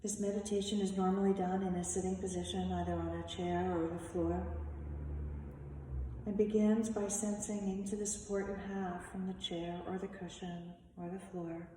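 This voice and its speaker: A woman leading a guided meditation as a teacher, speaking in measured, instructive short phrases with long pauses. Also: on the low side, dark tone. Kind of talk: slow guided meditation narration. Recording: echoey reverberant room, distant mic, faint noise.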